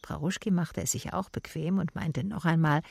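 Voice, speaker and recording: A woman's voice: low voice, steady tone, normal speed, gravelly, a little mellow, breathy edge, dry recording, heavily acted. An actress playing a private detective who narrates her case in a radio drama, in a dry, matter-of-fact, sardonic and observant way. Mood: reflective, dry.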